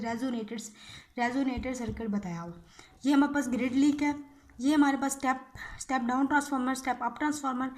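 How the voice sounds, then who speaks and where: A woman's voice: sits high, a little mellow. A woman giving an informal explanatory lecture, repeating key phrases and often checking in with short tag words. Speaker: physiotherapy lecturer.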